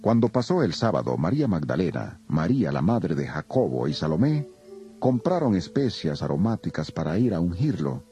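A man's voice: sits low, very animated, moderate pace, dark tone, highs cut off. A man narrating scripture as a solemn, dramatized scripted reading. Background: music.

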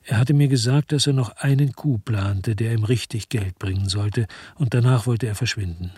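An actor playing a weary, dogged French detective who narrates his noir radio crime story in a measured, laconic way. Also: medium pitch, darkish tone, slightly rough, no room sound. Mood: brooding. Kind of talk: first-person narration in a noir radio drama.

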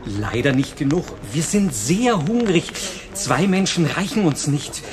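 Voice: medium pitch, sing-song, measured pace. An actor playing a mock-polite, greedy, menacing leader of cave-dwelling cannibals in a science fiction radio drama. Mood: hungry, menacing. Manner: oily politeness turning greedy. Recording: crowd noise behind the voice.

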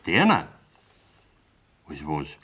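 An actor playing an angry, stubborn young farmer's son with a Bavarian accent in a radio play, blunt and sarcastic. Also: male voice, a bass voice, wildly swooping pitch, drawn-out words, pushed and bright, husky, exaggerated voice. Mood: sceptical, sarcastic. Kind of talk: 1970s German radio play acting.

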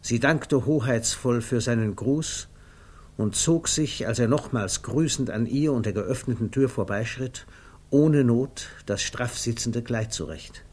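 Measured male narrator, vintage radio. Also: mid-range voice, a little mellow, husky. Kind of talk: literary narration in a 1950s German radio play. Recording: faintly noisy vintage radio recording.

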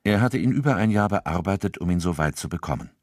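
A man's voice: sits low, expressive, quick pace, a little mellow, no echo, studio quality. A man acting as the sober narrator of a crime audio drama, delivering scripted literary narration.

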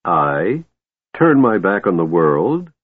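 A man voicing an ageless male chronicler in a fantasy audiobook, cold and grave, declaiming in a slow, solemn way with anger held in. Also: deep voice, big pitch swings, slightly rough, heavily acted. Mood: proud anger. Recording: muffled phone-like audio with room echo.

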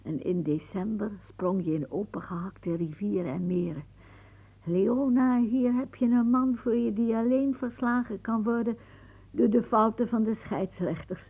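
An actress playing a wry, imaginative old aunt in a Dutch radio play, musing theatrically with pauses. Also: female voice, a little high, breathy edge. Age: older. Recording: muffled phone-like old radio recording.

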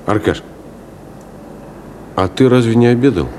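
An actor playing a modest, bookish middle-aged bachelor teacher, self-deprecating and colloquial, in a naturalistic Soviet radio play of the 1970s. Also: male voice, medium pitch, measured pace, a little mellow, lightly acted. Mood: reluctant, gentle. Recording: radio play with clear background noise. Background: car and street traffic ambience.

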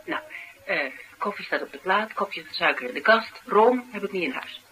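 A woman's voice: medium pitch, lively intonation, normal speed, pushed and bright, faint music behind. An actress playing a tough, blunt, determined woman interviewer in a Dutch radio drama. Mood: friendly, businesslike. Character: tough, sharp-tongued, determined woman interviewer sheltering a fugitive. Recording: noisy old radio drama recording.

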